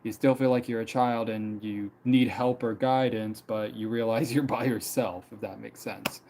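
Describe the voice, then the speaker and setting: A man's voice: fairly low pitch, steady tone, moderate pace, creaky, background noise. A young man in his mid-twenties, chatting casually on a live stream, rambling with many fillers and repeated phrases. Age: 20s. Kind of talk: casual personal storytelling.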